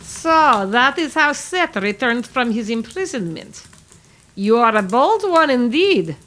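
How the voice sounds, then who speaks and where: A woman playing an ancient, sly, haughty witch in an audio drama, speaking slowly and formally. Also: fairly high pitch, very animated, fairly bright tone, heavily acted. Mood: haughty, unimpressed. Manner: slow, formal, haughty speech with cackles.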